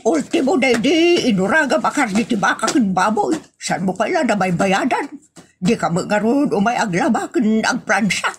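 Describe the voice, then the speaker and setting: A quick-tempered wife in a comedy audio drama, voiced by a woman. Her voice sits a little high, and she nags and complains in a sharp scolding way. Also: very animated, slight background noise. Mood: nagging. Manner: nagging, complaining.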